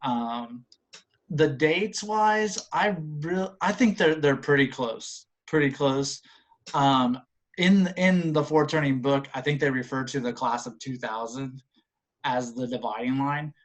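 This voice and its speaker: A man in his late thirties chatting casually as a guest, halting and often restarting his sentences. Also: medium pitch. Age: late 30s. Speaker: returning panel guest. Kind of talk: casual chat.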